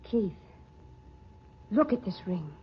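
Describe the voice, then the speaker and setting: A woman's voice: mid-range voice, sing-song, takes it slowly, slight background noise. An actress playing an elegant, affectionate society woman, gushing and theatrical in old-time radio drama style. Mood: fearful, insistent. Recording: muffled old radio recording.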